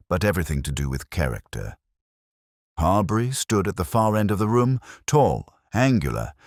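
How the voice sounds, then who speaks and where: A middle-aged man narrating a first-person detective story in a measured, reflective, literary manner. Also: rumbling low, dry recording, clean studio sound.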